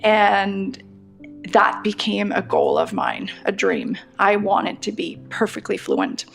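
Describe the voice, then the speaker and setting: A woman's voice: medium pitch, huge pitch swings, slow pace, creaky, faint music behind. A middle-aged woman from Quebec with a Quebec French accent, a French speaker talking in English as a podcast guest, reflective and chatty. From Quebec, Canada. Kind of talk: conversational interview.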